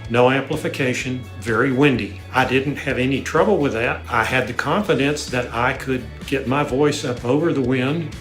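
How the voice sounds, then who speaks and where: A man's voice: medium pitch, moderate pace, hardly any pauses, a bit bright, echoey room. A man in his sixties from Texas, a guitar-playing singer giving a plain, matter-of-fact testimonial. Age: 60s. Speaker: singer and guitarist, course student.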